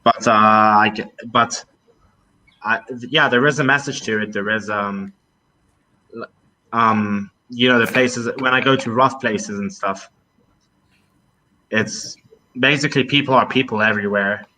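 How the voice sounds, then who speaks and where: A man's voice: mid-range voice, measured pace, pauses often, sharp tone, low-quality recording. A young man talking casually as a podcast guest, hesitant, with many fillers and restarts. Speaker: podcast guest, travel video maker. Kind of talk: casual conversation.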